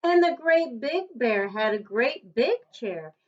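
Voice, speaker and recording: A woman reads a children's storybook aloud at a slow pace. Her voice is fairly high and swings with lively intonation, stressing each contrast deliberately like a teacher. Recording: dull, lo-fi recording.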